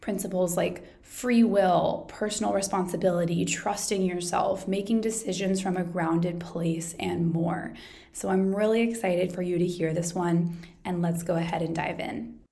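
A woman's voice: fairly low pitch, fairly even intonation, normal speed, vocal fry, mellow. A woman hosting a podcast who opens the episode and welcomes her guests in a friendly, upbeat way. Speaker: podcast host. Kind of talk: casual podcast chat.

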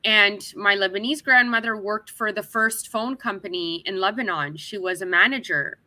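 A woman's voice: medium pitch, normal speed, bright, forceful tone, dry recording. A woman from Canada, talking as an interview guest in a chatty, upbeat way. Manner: chatty and upbeat, with frequent restarts and laughs. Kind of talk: casual interview chat.

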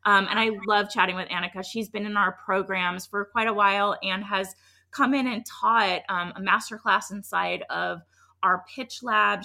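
A woman's voice: medium pitch, creaky, pushed and bright. A woman hosting a podcast in a chatty, effusive, emphatic way, with a slightly scratchy voice from being under the weather. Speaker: podcast host. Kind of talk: upbeat conversational podcast intro and interview.

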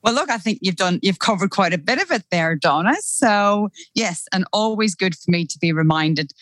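A woman's voice: mid-range voice, animated, normal speed, fairly bright tone, no room sound. A middle-aged woman with an Irish accent, talking as a podcast guest in a chatty, enthusiastic way. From Ireland. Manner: chatty and enthusiastic, often starts with "look". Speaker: podcast guest, time management expert. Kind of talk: casual interview conversation.

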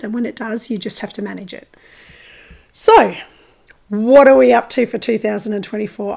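Croaky, hoarse woman podcast host. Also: mid-range voice, darkish tone. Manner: chatty, enthusiastic, frequent ums and uhs. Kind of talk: casual solo podcast chat.

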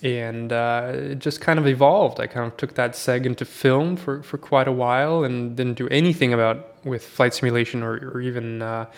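A man raised in Sweden talks casually as a podcast guest, with a gravelly edge to his mid-pitched voice. He rambles, stops and restarts, and fills the gaps with plenty of "uh" and "you know". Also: normal speed, hardly any pauses. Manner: relaxed, rambling with many fillers and restarts.

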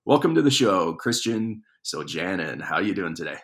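A man's voice: sits low, sing-song, moderate pace, a bit bright. A man hosting an interview podcast, upbeat and eager as he introduces and questions his guest. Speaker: podcast host.

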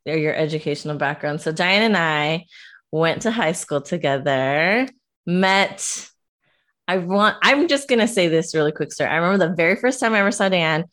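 A woman hosting a casual talk, chatty and telling quick stories with frequent laughter. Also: lowish voice, animated, a bit bright. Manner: chatty, laughs often, storytelling. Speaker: host, professor. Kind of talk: casual conversation.

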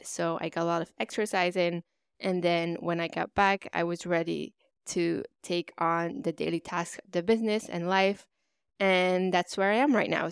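A woman's voice: lowish voice, clean tone, no room sound, studio quality. A woman hosting her own podcast in an upbeat, motivational, chatty way, with a stuffy, slightly nasal voice from a cold. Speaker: podcast host. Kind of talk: solo podcast monologue.

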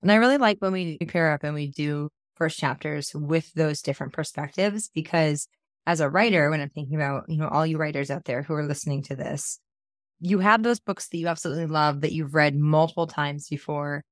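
A woman's voice: deep voice, a little fast, no echo, clean studio sound. A woman in her thirties hosting a podcast, upbeat and enthusiastic, her voice a little scratchy from a cold. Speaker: podcast host, book coach. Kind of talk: podcast intro and casual chat.

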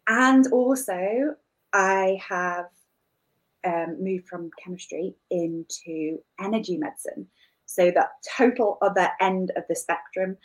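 A woman who teaches wellness, giving an earnest and enthusiastic welcome talk in a deliberate way. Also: on the low side, low-quality recording.

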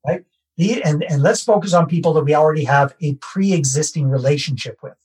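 A Canadian man, a real estate investor, talking through his process in a relaxed podcast interview and repeating his key points. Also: fairly high pitch, fairly quick, husky. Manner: conversational, self-deprecating, repeats key phrases.

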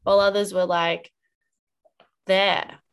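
A woman's voice: medium pitch, level tone, takes it slowly, clear, resonant tone. A woman hosting a podcast interview, chatting casually and responding with enthusiastic affirmations. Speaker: podcast host.

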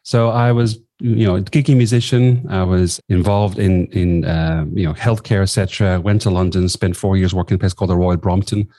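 A man's voice: low-pitched, steady tone, a little fast, few pauses, warm tone, dry recording. A man in his fifties with an Irish accent, telling personal stories conversationally as a podcast guest, in a wry, self-effacing way. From southwest of Ireland. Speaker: podcast guest, former nurse and coach.

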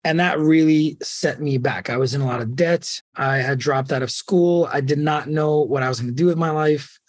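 Guest speaker, thirties man, self-deprecating and candid, higher-pitched, close dry mic. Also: moderate pace, no room sound. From Ukraine. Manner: candid, self-deprecating, speeds up when excited. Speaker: podcast guest. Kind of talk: casual storytelling interview.

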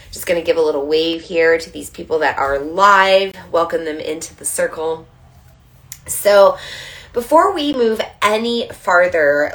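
Woman, lowish voice, a bit stuffy-nosed, gentle, guiding livestream host. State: a little stuffy from allergies. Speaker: moon priestess hosting a live online circle. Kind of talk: casual livestream with guided breathing. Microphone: livestream camera mic.

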